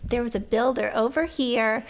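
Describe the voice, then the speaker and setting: A woman's voice: fairly high pitch, moderate pace. A middle-aged woman hosting a casual podcast interview, bubbly and enthusiastic. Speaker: podcast host.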